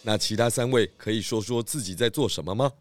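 Man as pig detective, low pitch, confident, measured explanations, children's radio play, music behind. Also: close to an everyday voice. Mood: serious, deducing. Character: confident male pig detective leading investigations. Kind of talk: children's audio drama.

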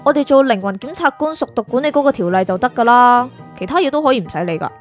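A woman's voice: sits high, expressive, fast, soft background music. A woman with a Hong Kong Cantonese accent playing an aloof, matter-of-fact soul prosecutor who is naive about humans, in an audio drama.

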